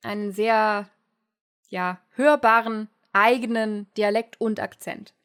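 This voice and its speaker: A woman's voice: mid-range voice, steady tone, slow pace, a bit bright, clean tone. A woman hosting an interview, chatty and explanatory, often laughing lightly. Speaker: interview host, language teacher. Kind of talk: casual interview conversation.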